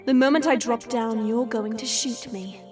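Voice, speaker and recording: A woman's voice: on the high side, moderate pace, darkish tone, soft background music, lightly acted. A woman playing a sassy, teasing vampire with a sarcastic, playful drawl in an audio roleplay. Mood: sassy, mocking. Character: hidden female vampire, sassy, teasing, unafraid. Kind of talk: audio roleplay drama acting.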